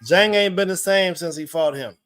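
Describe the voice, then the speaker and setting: A man with a high-pitched voice, raised in Arkansas and Michigan, hosting a loose boxing chat. He talks in animated, slang-heavy stories, full of asides and jokes, keeping the conversation lively. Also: normal speed, dry recording, studio quality.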